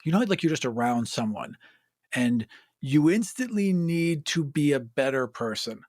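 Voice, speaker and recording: A man's voice: a little high, expressive, moderate pace, darkish tone, dry recording, studio quality. A man hosting a podcast, talking in a casual, conversational style. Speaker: podcast host.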